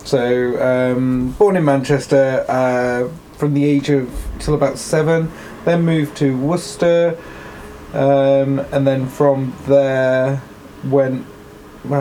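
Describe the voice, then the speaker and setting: A young English man with a polished, posh-sounding accent co-hosts a home podcast. He speaks in an easygoing, conversational way with a few hesitant fillers, at a middling pitch. A faint hiss sits under the recording. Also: mellow, poor audio. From England. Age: 20s. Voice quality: posh, plummy voice. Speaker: podcast co-host. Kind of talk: casual chat.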